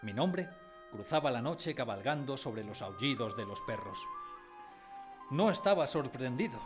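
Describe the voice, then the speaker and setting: A man playing a sensitive grown man who recalls his timid boyhood, in expressive, dramatised first-person storytelling. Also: medium pitch, measured pace. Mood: nostalgic. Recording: prominent music behind the voice.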